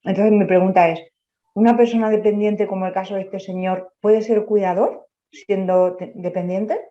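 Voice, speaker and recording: A woman's voice: medium pitch, steady tone, moderate pace, warm tone. A woman with a southeastern Spanish accent, a home care aide, puts a question from the audience. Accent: Castilian Spanish from southeastern Spain. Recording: dull, muffled sound.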